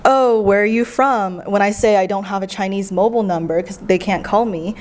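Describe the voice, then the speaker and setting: Woman guest, from the United States, mid-pitched, lively conversational storyteller. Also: brisk. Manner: chatty, animated storytelling. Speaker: guest, traveler. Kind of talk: casual conversation.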